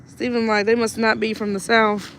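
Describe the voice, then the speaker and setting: A woman's voice: on the high side, little pitch movement, moderate pace, a bit bright, slight background noise, poor audio. A woman in her thirties, chatty and teasing, co-hosting a casual outdoor livestream. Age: 30s. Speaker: co-host reading viewer comments. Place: outdoors on a rural roadside.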